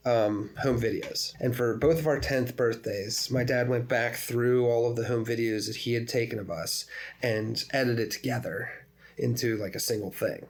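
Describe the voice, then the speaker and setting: A man of about forty hosts a relaxed conversation. He keeps breaking into laughter and pokes fun at himself. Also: mid-range voice, normal speed. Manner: laughs often, animated, self-mocking. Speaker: podcast host. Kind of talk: casual chat.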